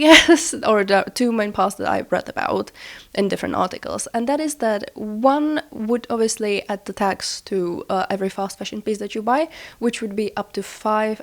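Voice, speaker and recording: A woman hosting a podcast in a casual, explanatory style while she has a cold, informal and often rewording herself as she goes. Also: medium pitch. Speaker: podcast host.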